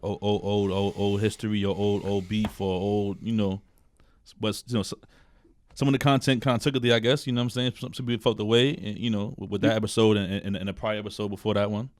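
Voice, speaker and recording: NY man, podcast co-host, low and easygoing, dry close sound. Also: flat delivery, fast, no echo. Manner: laid-back, hesitant, repeats words while thinking. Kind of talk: casual chat.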